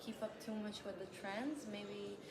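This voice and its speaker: A woman of twenty-one answering interview questions casually, hesitant and often pausing mid-sentence. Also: mid-range voice, fairly even intonation, echoey room. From Serbia, grew up in the UAE. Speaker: interviewee, college student. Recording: noisy room ambience, loud background noise.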